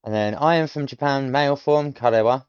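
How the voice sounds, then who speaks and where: A man's voice: medium pitch, normal speed, clean tone, dry recording, muffled recording. Musing British man working through lessons. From United Kingdom. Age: late 30s. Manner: explains each answer step by step, musing, with frequent hesitations. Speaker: self-taught learner streaming. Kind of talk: thinking aloud while working through lessons.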